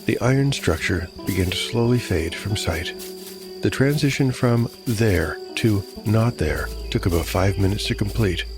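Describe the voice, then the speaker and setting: A man giving measured, literary scripted narration as storyteller and game master of a fantasy audiodrama. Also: on the low side, music in the background.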